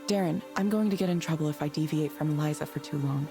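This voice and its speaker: An actress playing a calm, reserved woman in a visual novel, speaking in a measured, careful, gentle way. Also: deep voice, over music. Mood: calm, scripted. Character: calm, reserved woman reading for a counseling program. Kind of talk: naturalistic visual novel voice acting.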